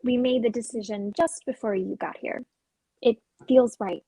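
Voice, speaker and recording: A woman playing an earnest, gentle, conscientious character in a dramatic play reading. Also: a little high, moderate pace, husky, dry recording. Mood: hopeful.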